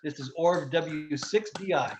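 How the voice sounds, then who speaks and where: A man's voice: on the high side, a little slow, a little hiss or hum. A man gives a semi-formal introduction as host, heard through dull video call audio. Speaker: club host introducing a speaker.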